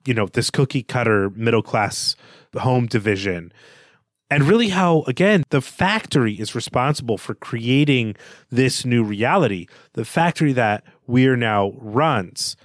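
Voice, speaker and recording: A man's voice: medium pitch, dry recording, studio quality. A man co-hosting a literary podcast, talking through a novel in a conversational, analytical way. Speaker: podcast co-host.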